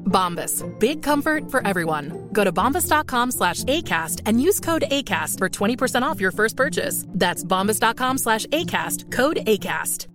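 Casting: female commercial voice, highish pitch, lively scripted delivery over clear music. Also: moderate pace, few pauses. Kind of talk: scripted advertising read.

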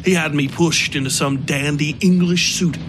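A man voicing a pompous, scornful American gentleman who rants theatrically in a dark audio story. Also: fairly high pitch, steady tone, moderate pace, noisy background, natural voice. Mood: scornful ranting. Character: ranting, scornful, drunken American man of wealth, a bigoted theorist. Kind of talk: dramatised audiobook dialogue.

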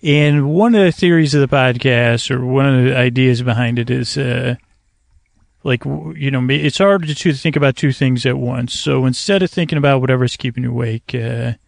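Creaky, meandering male podcast host. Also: mid-range voice, no room sound. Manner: hesitant, meandering, halting, with stammered repetitions. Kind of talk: rambling bedtime sleep podcast monologue.

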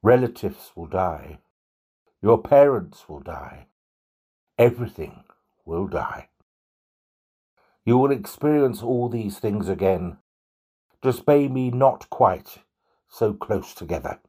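Slow husky solemn Father Christmas, acted by a man. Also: fairly low pitch, lively intonation, dark tone, exaggerated voice. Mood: grave, consoling. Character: mysterious sack-carrying Father Christmas, soft-spoken, grave, quietly coaxing. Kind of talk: dramatic audio story acting.

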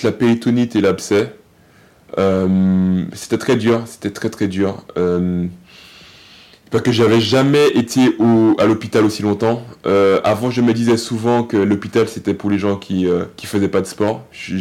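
A man with a fairly low voice answers questions as a podcast guest and talks about his sport. He keeps stopping to restart his sentences and often tags them with a conversational "you see". The delivery is loose and unscripted. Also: darkish tone. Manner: halting, many fillers and restarts, says "tu vois" often. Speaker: athlete guest. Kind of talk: casual podcast interview.

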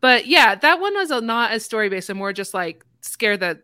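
A woman's voice: fairly high pitch, big pitch swings, moderate pace, pushed and bright, clean tone, dry recording, clean studio sound. A woman hosting a casual conversation in a playful, self-deprecating way. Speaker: podcast host. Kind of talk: casual chat.

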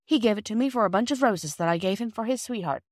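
A woman's voice: mid-range voice, expressive, fast talker, no room sound, studio quality, voice pushed up, natural voice. A woman voicing a proud, contrary young boy in a storybook, with a grand, boastful manner. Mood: grand, disagreeable.

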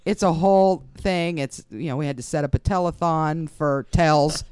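A man's voice: a little high, normal speed, a little mellow, smooth, no echo. A man co-hosting a podcast, trading wry, crude jokes in casual banter. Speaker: podcast co-host.

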